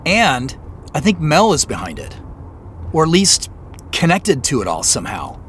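An actor playing an anxious, stubborn amateur investigator in a naturalistic audio drama, speaking in an earnest, hesitant, conversational way. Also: male voice, fairly high pitch, huge pitch swings, moderate pace, fairly bright tone, a little hiss or hum. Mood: tense, insistent.

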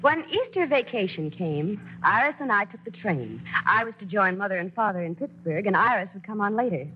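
An actress playing a grown daughter who fondly narrates family memories with an American accent, in expressive 1940s radio style. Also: female voice, mid-range voice, fairly quick, slight background noise, natural voice. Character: grown daughter fondly recalling her show-business family. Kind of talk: scripted first-person narration, 1940s radio acting. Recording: muffled old radio broadcast with music.

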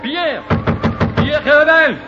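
A man's voice: very high-pitched, takes it slowly, loud music behind the voice. An actor playing a loyal, earnest French-Canadian backwoodsman in a 1940s radio drama. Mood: alarmed, urgent. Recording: dull noisy 1940s radio recording.

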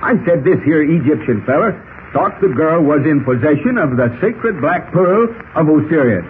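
An actor playing a folksy, talkative, excitable cowboy with an American Western drawl and colorful slang in a 1940s radio style. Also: male voice, on the high side, moderate pace, natural voice. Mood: rambling, explanatory. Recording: tinny, noisy old radio recording.

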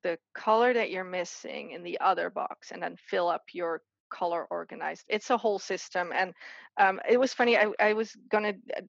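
A woman's voice: fairly low pitch, vocal fry, dry recording, highs cut off. A woman from the Netherlands, a guest artist chatting casually in an interview, full of restarts and fillers. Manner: chatty, many restarts and fillers, quick laughs.

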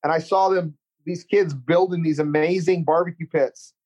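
A man's voice: a little high, steady tone, moderate pace, a little mellow, slightly rough. Earnest middle-aged Texan man, muffled. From Central Texas. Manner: earnest, rambling, many restarts and fillers. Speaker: culinary teacher and chef. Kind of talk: casual interview chat.

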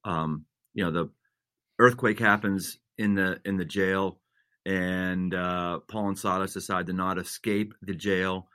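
Pastor dude talking about men, bright punchy voice, gets preachy and emphatic. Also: male voice, low voice, fairly even intonation, measured pace, dry recording, studio quality. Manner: builds to emphatic, repetitive preaching cadence. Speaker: senior pastor, guest author. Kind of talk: conversational interview, earnest and preachy.

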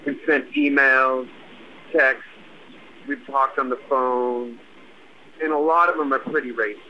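A hissy, muffled voicemail from a weary, paranoid American punk rocker, acted by a man. The aging man speaks in earnest, halting phrases, in audio drama style. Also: medium pitch, steady tone, natural voice. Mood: confessional. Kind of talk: audio fiction voicemail monologue. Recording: muffled noisy phone voicemail.

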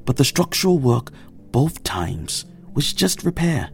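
An actor playing a weary, apologetic rocket engineer in a naturalistic audio drama. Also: male voice, mid-range voice, sing-song, unhurried, creaky, breathy edge, faint music behind, full character voice. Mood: frustrated, weary. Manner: downbeat, apologetic. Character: weary, overworked male rocket engineer leading a crew.

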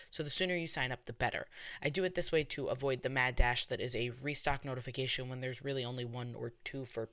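A woman's voice: sits low, fairly bright tone. A woman with a New York accent hosting a podcast, reading an upbeat script and asking eager questions. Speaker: podcast host. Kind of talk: scripted intro, then interview.

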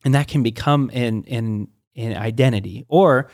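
A man's voice: mid-range voice, darkish tone, no echo, studio quality. A middle-aged man, a pastor, talking through ideas in a casual teaching conversation, with frequent restarts and stammers. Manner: halting, many restarts and stammers.

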